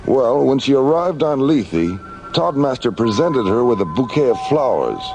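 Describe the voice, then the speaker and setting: An actor playing a matter-of-fact commissioner in a science fiction radio drama, explaining in a measured way. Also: male voice, medium pitch, sing-song, music in the background, rough recording. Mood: serious, explanatory. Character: matter-of-fact male space agency commissioner.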